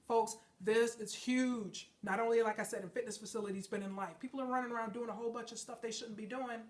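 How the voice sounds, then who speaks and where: A woman's voice: on the high side, steady tone, fast, far from the mic. A woman fitness trainer giving an emphatic motivational talk, congested from a chest and sinus infection, often checking in with her listeners. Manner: emphatic, preachy, keeps checking in with "okay?" and "folks".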